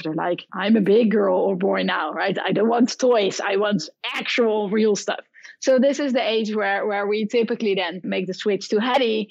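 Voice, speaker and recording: A woman's voice: mid-range voice, dry recording, highs cut off. A woman with a Dutch accent, a guest on a podcast, enthusiastically explaining programming education with examples. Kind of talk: podcast interview.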